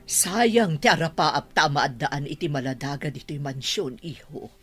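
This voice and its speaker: A woman's voice: low-pitched, moderate pace, faint music behind, lightly acted. A woman playing a stern, haughty older mother with a commanding manner in a radio drama. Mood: scheming, consoling. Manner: scolding, commanding.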